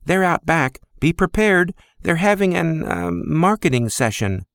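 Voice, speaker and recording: A man voicing a weary but friendly middle-aged receptionist, speaking softly. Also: low voice, sing-song, unhurried, clean tone, dry recording, studio quality, pitched above the performer's own voice, heavily acted.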